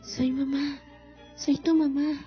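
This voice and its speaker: Radio drama mother woman acting: devout, worried for her soldier child, raised pitch, lo-fi sound with music. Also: level tone, slow. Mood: soothing. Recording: dull lo-fi recording, music underneath.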